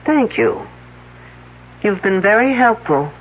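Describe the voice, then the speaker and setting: A woman's voice: mid-range voice, big pitch swings, slow pace, slightly rough, exaggerated voice. An actress playing a skeptical, persistent woman detective in a mystery drama, questioning and commenting in a dry, sarcastic manner. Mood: brisk, probing. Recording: noisy, narrow phone-like old broadcast audio.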